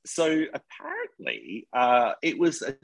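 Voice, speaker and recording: A man's voice: medium pitch, wildly swooping pitch, takes it slowly, creaky. A man with an Australian accent, chatting as a podcast guest, joking and poking fun at himself. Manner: joking, self-mocking, chatty. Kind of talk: casual chat.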